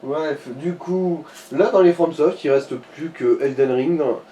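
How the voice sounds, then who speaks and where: Guy co-hosting a let's play, slightly high voice, slangy, bit far mic. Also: unhurried, mellow. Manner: slangy, colloquial. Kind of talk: casual gaming commentary. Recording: distant mic, faint background noise.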